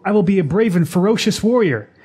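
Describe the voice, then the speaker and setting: A boastful young would-be warrior , played by a man. His voice is husky, fairly high and very animated, eager and emphatic in fantasy radio drama style. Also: quick pace.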